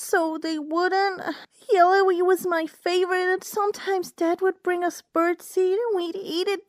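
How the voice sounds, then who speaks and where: A woman's voice: very high-pitched, no echo, clean studio sound, voice pushed up. A woman voicing a crying little girl pony in a fan fiction story, babbling and tearful.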